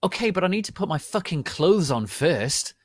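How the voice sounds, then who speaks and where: Guy doing a goth teenage girl, high and loud, shrill drama queen, indignant storybook voice. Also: big pitch swings, normal speed, no room sound, studio quality. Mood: shocked, angry. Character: melodramatic, foul-mouthed gothic teenage girl.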